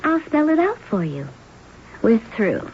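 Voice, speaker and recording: A woman's voice: a little high, very animated, unhurried. A woman playing a scornful femme fatale blackmailer in a radio drama, with an American accent and a pointed, taunting manner. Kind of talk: 1970s radio drama acting. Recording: muffled old radio recording, faint noise.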